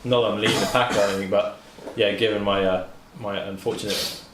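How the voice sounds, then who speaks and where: A man's voice: on the low side, fairly even intonation, quick pace. A man playing a tabletop game who speaks hesitantly, with many fillers and restarts. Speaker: player. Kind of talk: casual tabletop play. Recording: echoey room with noise.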